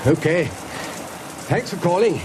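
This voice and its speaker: An actor playing an anxious, haunted British professor in a radio drama, speaking politely with hesitations and stammers. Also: male voice, sits high, lively intonation, measured pace, noisy background. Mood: flustered, polite. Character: anxious, haunted male professor, out of condition and fraying.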